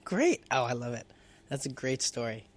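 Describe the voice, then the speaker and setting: A man's voice: mid-range voice, sing-song, moderate pace. A man hosting a podcast, asking questions and giving short, encouraging replies. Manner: upbeat and encouraging, short affirmations. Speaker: podcast host.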